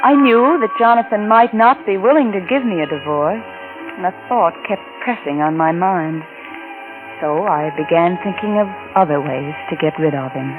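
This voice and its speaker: An actress playing an unfaithful, romantic wife, narrating in a polished, confiding 1940s radio drama style. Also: female voice, lowish voice, moderate pace, narrow, tinny audio, close to an everyday voice. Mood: confessional, brooding. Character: unfaithful wife confessing a secret love, brooding, romantic. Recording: vintage radio recording with music.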